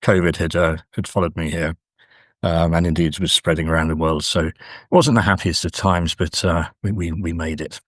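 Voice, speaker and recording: A man speaking as an academic interview guest, explaining in measured, halting phrases with frequent pauses. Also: low voice, fairly even intonation, dry recording, clean studio sound.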